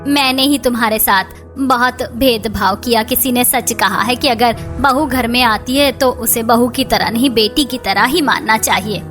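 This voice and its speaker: A woman's voice: fairly high pitch, moderate pace, few pauses, a bit bright, lightly acted. A woman voicing the women of a household in a Hindi moral-story cartoon, over music. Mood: apologetic. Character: women of a quarrelling household: daughter-in-law, mother-in-law, sister-in-law. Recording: music bed behind the voice.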